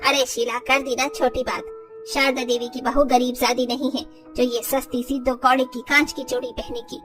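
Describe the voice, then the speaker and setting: A woman's voice: high-pitched, level tone, moderate pace, distant mic, over music, natural voice. A woman voicing a haughty, boastful rich mother-in-law in a moral story, with a condescending manner. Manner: condescending, gossipy.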